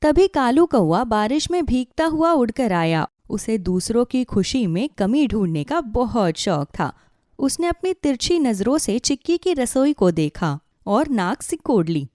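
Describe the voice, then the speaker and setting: A woman narrates a children's story in a soft-edged, mellow voice. Her pitch sits a little high and moves in a lively way. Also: a little slow, no room sound, studio quality.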